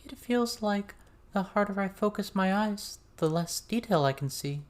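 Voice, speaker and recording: A man voicing a gentle, hesitant, polite teenage girl in an audiobook. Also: high-pitched, animated, normal speed, pitched above the performer's own voice. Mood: unsure.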